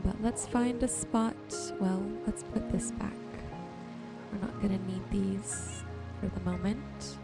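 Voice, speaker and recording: A woman narrates her gameplay in a soft-spoken ASMR style at a very slow pace. Her pitch sits in the middle range for a woman, and she muses aloud to herself and her viewers. Music and rain sounds play clearly behind her voice. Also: fairly even intonation. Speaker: gaming streamer and host.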